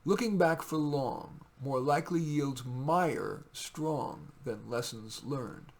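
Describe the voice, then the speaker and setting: A man with a mid-pitched voice presents poems he wrote himself. He declaims the verse with theatrical emphasis and speaks casually between pieces. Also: slow, vocal fry. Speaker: poet reading own work. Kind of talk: poetry reading with casual asides.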